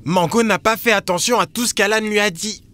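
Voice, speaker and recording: A woman voicing an expressive, easily scared young boy in a children's cartoon. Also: medium pitch, a little fast, lightly acted. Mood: earnest explaining. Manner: expressive, exclaiming. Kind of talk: animated children's cartoon dialogue.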